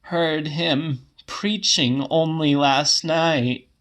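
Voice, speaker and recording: A man voicing a stubborn, scornful old woman in a dramatic audiobook, speaking slowly and emphatically. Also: a little high, fairly even intonation, fairly bright tone, pitched above the performer's own voice. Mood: scornful, insistent.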